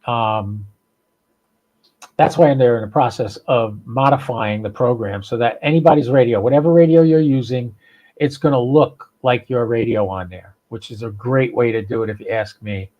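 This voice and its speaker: A man's voice: mid-range voice, normal speed, lo-fi sound. An American man hosting a casual, unscripted livestream, talking in a folksy, rambling, informal way. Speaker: podcast and livestream host.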